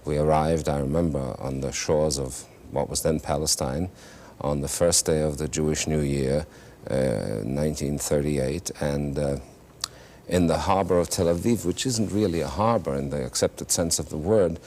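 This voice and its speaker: A man, an actor and singer whose first language is German, telling stories in a reflective, halting way with wry humor in a television interview. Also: a bass voice, a little mellow. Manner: thoughtful, halting with many restarts, wry humor. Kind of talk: reflective conversational storytelling. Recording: faint hiss, 1970s TV studio.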